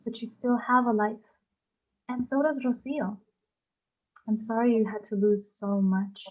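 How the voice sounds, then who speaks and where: A woman playing a kind, tactful stable worker in a screenplay table read, speaking gently and carefully. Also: fairly high pitch, fairly even intonation, measured pace. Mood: sympathetic. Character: kind, tactful woman working at an equestrian center. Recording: dull, lo-fi sound.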